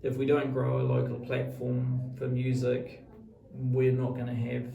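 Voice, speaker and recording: A man's voice: medium pitch, monotone, measured pace, vocal fry, mellow, muffled recording. A middle-aged man with a New Zealand accent answering interview questions thoughtfully, often repeating words as he forms his point. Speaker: radio executive, interview guest. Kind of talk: long-form interview conversation. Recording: roomy echo, distant from mic.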